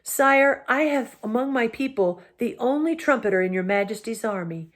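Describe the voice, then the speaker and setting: Brief: woman playing a tiny male mouse knight, shrill and high, formal, chivalrous. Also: expressive, moderate pace. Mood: earnest. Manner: courtly, formal, touchy about honor.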